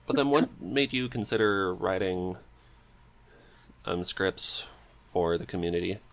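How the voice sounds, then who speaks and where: A man's voice: deep voice, expressive, a little slow. A man hosting a podcast, chatting casually and supportively with his guest. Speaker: podcast host. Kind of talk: casual interview chat.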